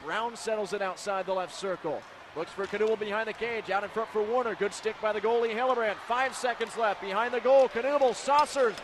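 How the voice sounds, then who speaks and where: A man calling ice hockey play-by-play live on radio, excitable and building to shouted calls. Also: very high-pitched. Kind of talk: live radio sports commentary. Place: broadcast position at hockey games. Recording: live arena broadcast, clearly noisy background.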